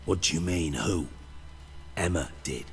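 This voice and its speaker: Game villain: a low, sardonic underworld boss, theatrical and menacing, faint music underneath. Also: male voice, animated, unhurried, gravelly, heavily acted. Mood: bargaining. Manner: theatrical, sarcastic, wordy. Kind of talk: video game voice acting.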